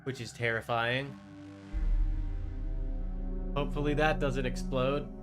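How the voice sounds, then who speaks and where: A man's voice: mid-range voice, steady tone, slow pace. Casual man livestreaming, music behind. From Canada. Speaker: game livestreamer using an avatar. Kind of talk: casual livestream chat, thinking aloud.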